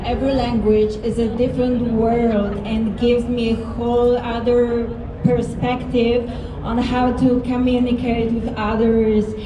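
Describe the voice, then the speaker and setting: A woman's voice: fairly high pitch, noisy background. A Polish-accented woman contestant answering on stage, hesitant and full of fillers. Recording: echoey PA sound, crowd behind.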